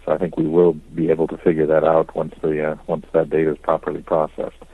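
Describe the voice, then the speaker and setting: Very deep-voiced man, flat and cautious. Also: no room sound, narrow, tinny audio. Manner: hedging, cautious, measured answers. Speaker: company executive answering investor questions. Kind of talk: earnings call question and answer. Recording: dry conference call audio.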